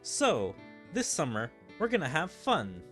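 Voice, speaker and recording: A man's voice: fairly high pitch, huge pitch swings, unhurried, loud music behind the voice. A man voicing a kindly, reflective young leader and teacher from an anime game, reading the lines aloud. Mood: upbeat. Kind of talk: amateur read-aloud of anime game dialogue.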